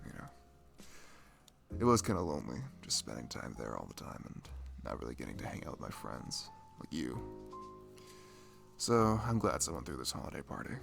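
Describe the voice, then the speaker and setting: A man acts out a dorky, caring male best friend in an intimate audio roleplay. His low voice swings expressively up and down as he rambles, hesitates and apologizes shyly. Music plays clearly behind him. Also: full character voice. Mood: gently concerned. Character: shy, dorky, caring young man, a video game-loving best friend. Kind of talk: intimate roleplay ASMR, mimicking casual one-sided chat.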